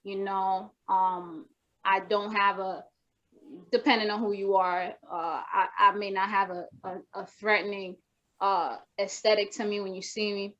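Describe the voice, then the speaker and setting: A young woman guest rapper with a naturally soft voice, talking casually on a video call, rambling with many restarts and fillers. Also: medium pitch, little pitch movement, unhurried. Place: home room with wooden shelves. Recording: dull lo-fi video call audio.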